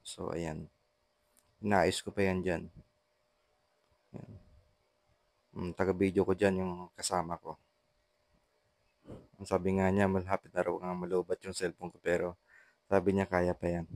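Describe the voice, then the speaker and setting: A Filipino man narrating a casual how-to vlog in a halting, repetitive way, with short trailing phrases. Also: deep voice, flat delivery, a little mellow, dry recording. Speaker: vlogger, intercom installer.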